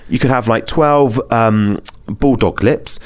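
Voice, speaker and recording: A man's voice: medium pitch, slow pace, clean tone. A British man hosting a podcast in casual conversation, chatty and informal, thinking aloud as he goes. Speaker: podcast host. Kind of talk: casual chat.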